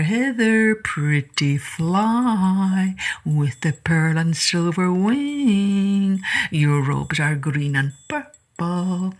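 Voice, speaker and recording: A woman voicing a cunning male spider in a storybook reading, coaxing with drawn-out, breathy flattery. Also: lowish voice, heavily acted. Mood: singsong luring.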